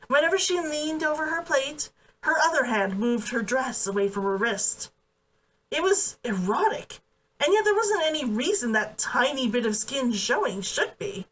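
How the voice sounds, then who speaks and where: Woman, expressive storybook narration, lively swooping pitch, slightly distant mic. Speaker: author reading own book aloud. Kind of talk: expressive fiction read-aloud narration.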